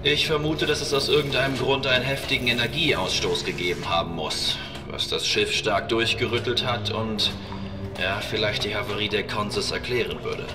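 A man playing a calm, careful spaceship captain in a sci-fi horror audio drama. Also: fairly low pitch, moderate pace, close to an everyday voice. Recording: slightly distant, music underneath.

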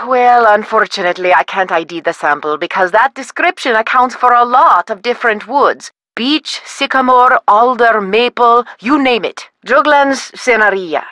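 A woman's voice: a little high, moderate pace, few pauses, bright, forceful tone, no room sound. A woman voicing a polite, patient wood expert on a phone helpline in a video game, explaining step by step.

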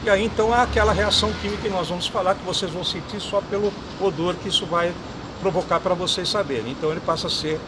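Older man explaining, high voice. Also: fast. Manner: explanatory, adds "tá?" tags. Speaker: equipment technician. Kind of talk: technical explanation. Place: outdoor parking lot. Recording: clear street noise behind.

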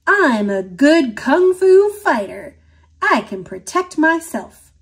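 Lady doing a brave little girl from a picture book, high eager voice, super expressive. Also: slow pace, full character voice. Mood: confident.

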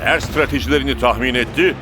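A man's voice: medium pitch, fast talker, over music, lightly acted. A man voicing a firm, authoritative football coach in a cartoon dub. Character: stern male football coach, commanding and strategic. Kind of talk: dubbed cartoon acting.

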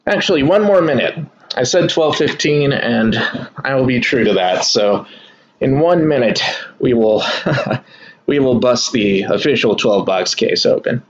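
A man's voice: medium pitch, a little slow, lo-fi sound. Chatty man hosting a card break. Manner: relaxed, chatty, addresses viewers as y'all. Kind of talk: casual live card break commentary. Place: indoor room at a kitchen table.

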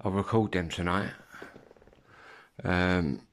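A man in his fifties with an English accent, chatting casually and haltingly in an informal, rambling vlog. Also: low-pitched, very noisy. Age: 50s. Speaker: CB radio hobbyist vlogger. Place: room at home. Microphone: phone at arm's length.

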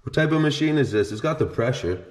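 A man's voice: medium pitch, expressive, fast talker. A man touring an abandoned house and thinking aloud as he walks through with a handheld camera. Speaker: urban explorer vlogger. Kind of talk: casual walkthrough narration. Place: empty abandoned house indoors. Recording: echoey empty rooms, faint hiss.

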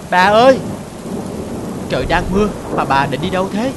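A man voicing a stubborn, dismissive young farmer grandson in an animated film, grumbling and exclamatory. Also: high-pitched, moderate pace, very noisy. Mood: exasperated.

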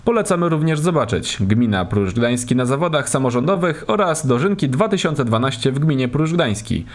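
A man reading scripted voice-over narration for a local news report, in a lively, measured way. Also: mid-range voice.

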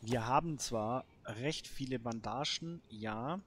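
A man's voice: medium pitch, takes it slowly, fairly bright tone. A man giving casual, colloquial let's play commentary, talking half to himself and half to the game. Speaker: gamer and host of a let's play series. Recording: noisy home recording with clicks.

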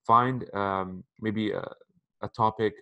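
A man's voice: lowish voice, fairly even intonation, slow, pushed and bright, muffled recording. A man in his thirties giving casual advice over a video call through a headset, talking conversationally and often repeating words as he goes. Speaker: interview guest. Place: apartment room by a window. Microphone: headphones on a video call.

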